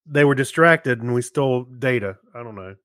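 A man's voice: mid-range voice, animated, normal speed, fairly bright tone, no echo, studio quality. A man chatting casually as the co-host of a podcast. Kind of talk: casual chat.

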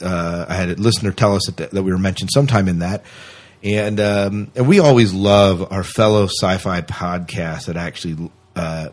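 A man hosting a podcast in a casual, talkative, explanatory way, chatting with a co-host. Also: fairly low pitch. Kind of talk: casual co-hosted podcast chat. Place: small closed room.

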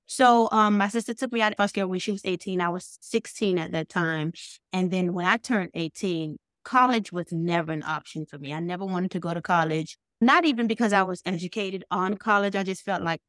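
Female interview guest from the Bahamas, lowish pitch, personal storytelling, dry sound. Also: a little fast, fairly bright tone, dry recording. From Bahamas, moved to the United States at seven. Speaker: podcast guest, foster youth advocate.

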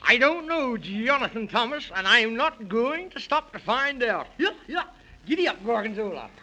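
A man's voice: very high-pitched, big pitch swings, normal speed, bright, forceful tone, heavily acted, poor audio. An actor playing a timid, kindly Man in the Moon in a 1930s children's radio serial, exclaiming in a folksy, fretful way. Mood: flustered, frightened.

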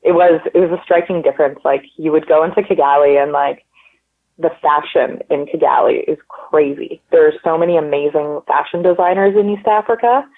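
A woman's voice: low voice, a little fast. A woman, a guest chatting casually in an interview, rambling with lots of "like" and "um" in an upbeat way. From Canada. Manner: rambling, many likes and ums, upbeat. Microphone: phone line. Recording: tinny phone line.

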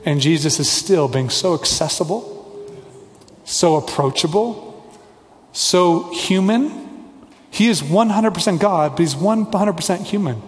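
A man's voice: high voice, expressive, measured pace, long pauses, long reverb tail. A man preaching a sermon as pastor, earnest and conversational, with playful asides.

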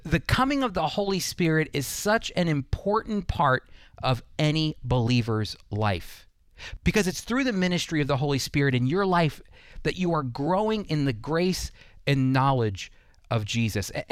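A man with a fairly high-pitched voice delivers a prepared sermon. He teaches in an earnest, instructive way, addressing the listener directly. The sound is dry, with hardly any room around the voice. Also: dry recording. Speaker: preacher hosting a Bible teaching program.

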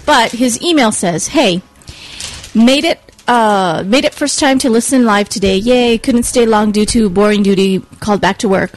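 A woman with a fairly high voice reading out listener mail on a friendly group podcast. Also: normal speed, no room sound. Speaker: podcast co-host. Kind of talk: casual chat, reading listener email.

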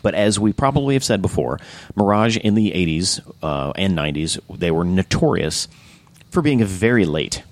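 A man hosting a casual podcast chat, enthusiastic and rambling, with frequent restarts and a slightly hoarse voice. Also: low voice, big pitch swings, moderate pace, no room sound. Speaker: podcast host.

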